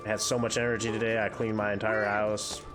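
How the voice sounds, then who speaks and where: A young man with a fairly low voice, audibly congested, chatting casually and candidly while he hosts a game playthrough. Game music plays under his rambling talk. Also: level tone, fast talker. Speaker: gaming channel host.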